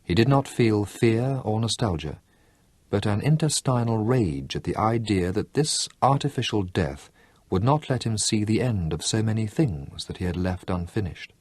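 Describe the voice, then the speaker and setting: A man narrating a novel as an audiobook, in a measured, expressive storytelling manner. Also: lowish voice, breathy edge, no room sound. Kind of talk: scripted narration.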